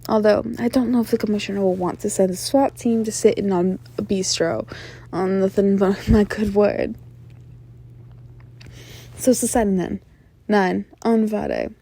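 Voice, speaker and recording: A woman's voice: mid-range voice, steady tone, mellow, breathy edge. A woman playing a flirtatious, sly mafia boss in an ASMR roleplay, coy and self-assured, with teasing hums and murmurs. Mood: playful, bossy. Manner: coy, teasing, drawn-out hums and knowing laughs.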